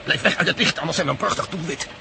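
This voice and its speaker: Stevens, excitable high-voiced man in noise. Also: very fast, gravelly. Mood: urgent. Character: Stevens, hot-tempered male friend of the hero. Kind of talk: Dutch radio play acting. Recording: loud vehicle and siren noise behind.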